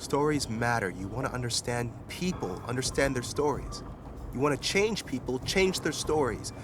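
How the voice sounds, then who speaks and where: An actor playing a measured, commanding man who speaks in deliberate aphorisms. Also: mid-range voice, expressive, background noise, natural voice. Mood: measured, intense. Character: measured, commanding male orator on power and stories.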